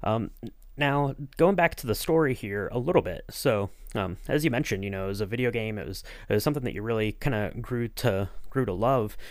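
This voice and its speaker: A man's voice: on the low side, brisk, dry recording, clean studio sound. A man co-hosting a podcast, interviewing in a casual, agreeable, rambling way. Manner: rambling, agreeable, hedging with "kind of". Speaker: podcast co-host acting as interviewer.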